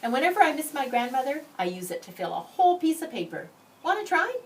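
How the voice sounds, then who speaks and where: A woman voicing a gentle, thoughtful schoolgirl in a storybook, speaking earnestly and carefully. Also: sits high, sing-song, quick pace, recorded from a distance, voice pushed up. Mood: eager, sharing. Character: gentle young Korean immigrant schoolgirl, thoughtful, proud of her name.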